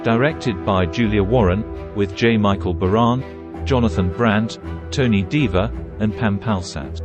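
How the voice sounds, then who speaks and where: A man's voice: on the low side, a little slow, over music. A man reading a show's opening credits in a formal announcer manner.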